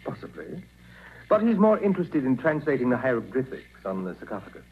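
A muffled old radio recording of a fussy museum scholar. His mid-pitched voice moves animatedly, formal and precise in 1940s drama style. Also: very animated, brisk, slight background noise. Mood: guarded, formal.

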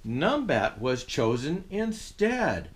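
Older guy as santa reading a kids story, jolly n expressive, really up and down pitch. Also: slow pace. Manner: expressive, jolly delivery with chuckles. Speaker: storyteller dressed as Santa Claus. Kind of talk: animated storybook reading for children.

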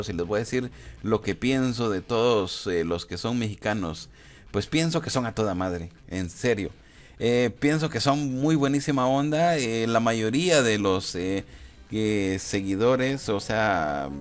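A man chatting informally and hesitantly as a YouTuber, using slang and repeating himself. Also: mid-range voice. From Guatemala. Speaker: YouTuber answering viewer questions. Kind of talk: casual chat over gameplay footage. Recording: clear background noise.

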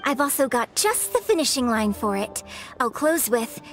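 High-voiced, graceful young opera singer for a game, poised and teasing. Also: female voice, sing-song, normal speed. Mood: fond. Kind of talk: game voice acting. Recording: game audio with faint music behind.

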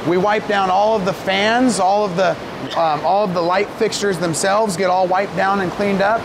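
A man's voice: steady tone, normal speed. An American man in his forties explaining things step by step in a plain-spoken way as he leads a facility tour. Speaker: company president giving a facility tour. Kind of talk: explanatory guided tour, unscripted talk. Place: large indoor grow room with fans running. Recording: loud rumbling fan and machinery noise.

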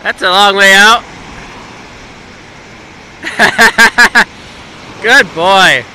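Young guy, bright voice, casual; handheld phone on a windy beach, short dog commands. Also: high-pitched, very slow, poor audio. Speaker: dog owner filming a beach walk. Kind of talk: casual chat. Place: sandy lake beach outdoors, waves behind. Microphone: handheld phone camera. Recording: faint surf and wind noise.

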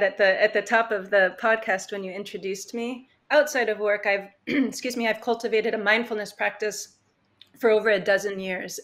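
A woman speaks through an earbud mic on a video call from a room at home. Her voice is bright and a little hoarse. She talks thoughtfully, pausing often with fillers. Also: medium pitch. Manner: thoughtful, reflective, many fillers. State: slightly hoarse. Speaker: podcast guest, consultant. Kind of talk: casual interview talk. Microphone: earbud headset on video call.

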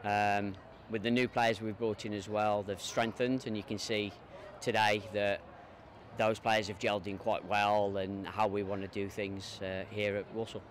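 A middle-aged man, a football coach, giving measured, hesitant answers in a post-match interview outdoors. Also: lowish voice, flat delivery. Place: open football stadium pitchside, outdoors. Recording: outdoor noise behind.

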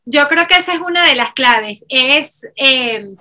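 A young woman hosting an interview show from a home studio, playful and quick as she questions her guest. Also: sits high, lively intonation, pushed and bright. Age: young adult. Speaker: host. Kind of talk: casual interview chat. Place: home studio with keyboard and speakers.

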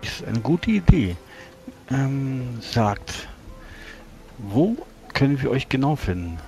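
Man as lively, courteous gentleman adventurer. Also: medium pitch, takes it slowly. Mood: polite, inquiring. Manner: formal, flowery politeness. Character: courteous, curious, slightly naive fantasy gentleman adventurer. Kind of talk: improvised tabletop role-play.

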